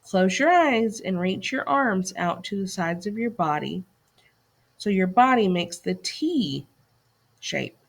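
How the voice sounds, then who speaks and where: A woman's voice: mid-range voice, expressive, slow, vocal fry. A woman reading a scripted educational lesson aloud to children as a teacher, putting questions and directions to young listeners.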